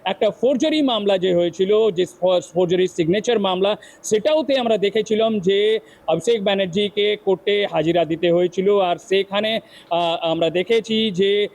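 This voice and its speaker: Guy doing a news report off the cuff, high voice, kinda stumbly and repeats himself. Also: creaky, a little mellow, low-quality recording. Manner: halting, repetitive, with broken-off words. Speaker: field reporter.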